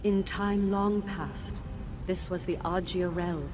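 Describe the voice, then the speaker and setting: Fantasy game ghost: wronged wife and teacher, grieving, lowish female voice, theatrical gravity, noisy backdrop. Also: fairly even intonation, takes it slowly. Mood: mournful, despairing. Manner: mournful, solemn, dramatic. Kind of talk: fantasy video game voice acting.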